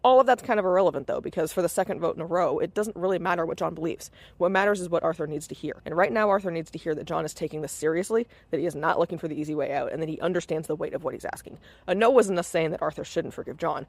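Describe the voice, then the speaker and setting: A man's voice: a little high, rapid-fire, gravelly, few pauses. A man giving prepared podcast commentary in an assertive, articulate and fluent way.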